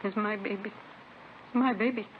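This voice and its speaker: An actress playing a desperate, insistent wife in a 1950s radio drama, on an old noisy recording. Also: female voice, mid-range voice, steady tone, unhurried, narrow, tinny audio, lightly acted. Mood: insistent denial. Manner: pleading, insistent denials in short phrases. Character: desperate young wife clinging to a stolen baby.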